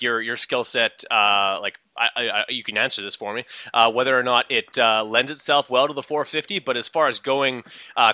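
A male podcast host with a very bright, forceful voice and a dry, close sound. He interviews his guest casually, rambling and restarting his sentences with plenty of fillers. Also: mid-range voice, dry recording.

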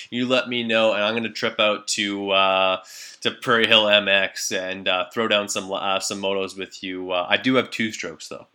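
A man's voice: fairly low pitch, sharp tone. A man hosting a radio show, interviewing casually in a friendly, hesitant way with many fillers. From Canada. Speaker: radio host.

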